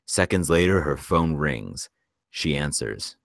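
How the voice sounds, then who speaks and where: A man's voice: very deep voice, animated, slow pace, gravelly, no echo, studio quality. A man reading screenplay stage directions as scripted narration for a table read.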